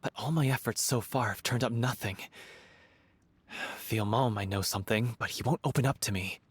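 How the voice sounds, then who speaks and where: A man's voice: medium pitch, moderate pace, husky, close to an everyday voice. An actor playing a grieving young man from a theater troupe, speaking earnestly in a story game. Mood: somber. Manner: earnest, heartfelt confession. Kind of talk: English game voice acting.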